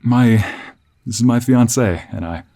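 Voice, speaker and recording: Man putting on a nervous, higher-voiced polite villager. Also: unhurried, dark tone, close to an everyday voice. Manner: stammering, flustered, courteous. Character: nervous, polite, cautious male village traveler, engaged. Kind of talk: fantasy audio roleplay acting.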